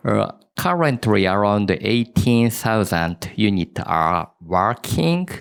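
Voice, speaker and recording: A man who founded a robot company, introducing it on a conference panel in a measured, deliberate way, pausing between phrases. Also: on the low side, big pitch swings, vocal fry, clear, resonant tone. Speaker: startup founder and CEO, panelist. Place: stage at a conference event.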